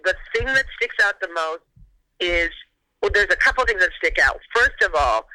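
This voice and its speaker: A woman guest on a phone call, telling a story in a casual, animated way and acting out her own reactions. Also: on the low side, moderate pace.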